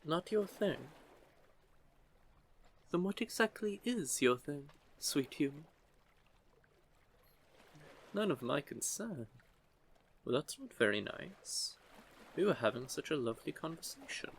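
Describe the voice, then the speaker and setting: Man voicing a slow-talking, curious young merman. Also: mid-range voice. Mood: playful teasing. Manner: slow, measured, gently formal, teasing. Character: curious, teasing, sheltered young merman, nearly a hundred. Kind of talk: scripted audio roleplay, intimate one-sided conversation.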